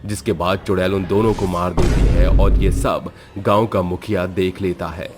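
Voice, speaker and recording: A man's voice: low voice, steady tone, moderate pace, loud music behind the voice. A man narrating a scripted horror story as a storyteller.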